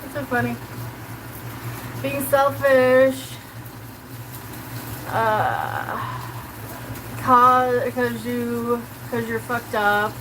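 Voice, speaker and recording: A chatty woman in her forties with a South Kansas accent, talking to her stream as she cooks. Her voice sits a little high, and she rambles loosely, filling her sentences with "like." A pan sizzles clearly behind her. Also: drawn-out words, long pauses, background noise. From Colorado. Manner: chatty, rambling, heavy use of "like", answers chat aloud. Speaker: livestreamer. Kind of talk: casual live-stream chat while cooking. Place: home kitchen.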